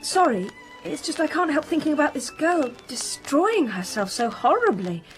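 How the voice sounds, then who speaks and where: High-voiced, sensitive young daughter. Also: female voice, very animated, moderate pace, poor audio. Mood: shocked, upset. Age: young adult. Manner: earnest, questioning. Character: sensitive, curious young upper-class daughter, easily moved. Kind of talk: radio drama acting. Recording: music under the voice.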